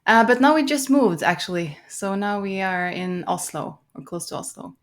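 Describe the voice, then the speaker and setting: A woman with a Norwegian accent, a guest chatting in a relaxed, loose way in an interview. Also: mid-range voice, normal speed. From Norway. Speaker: guest adventurer. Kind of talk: casual interview chat.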